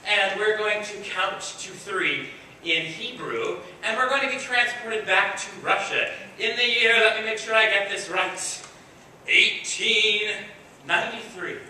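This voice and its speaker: A man with a high-pitched voice hosts a children's school play. He speaks informally and encouragingly, like a director guiding young performers step by step. The recording is very echoey and distant, with clear background noise. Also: measured pace, sharp tone. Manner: encouraging, playful, gives simple step-by-step instructions to children. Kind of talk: informal live announcing, coaching young children on stage. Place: large echoey room before an audience.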